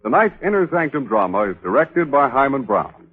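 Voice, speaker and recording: A man's voice: medium pitch, very animated, brisk, narrow, tinny audio. A man announcing and selling in the scripted style of 1940s radio. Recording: thin old radio recording.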